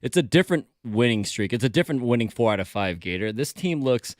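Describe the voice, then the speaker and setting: A man co-hosting sports radio in a lively, teasing way. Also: medium pitch, fast talker, no room sound, clean studio sound. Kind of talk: casual sports talk. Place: radio studio.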